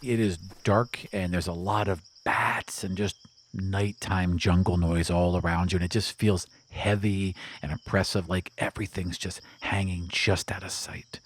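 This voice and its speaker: A man's voice: low-pitched, fairly even intonation, measured pace, a little hiss or hum. A man narrating a tabletop game as game master in a descriptive, conversational way, with night crickets behind him. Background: crickets and night insect ambience.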